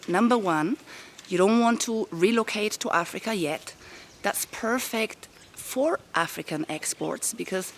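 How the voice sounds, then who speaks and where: A woman with a rough voice, giving upbeat step-by-step business advice to camera from a garden outdoors. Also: fairly low pitch, slight background noise. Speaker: business coach. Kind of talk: casual talk to camera. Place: garden of a private villa, outdoors.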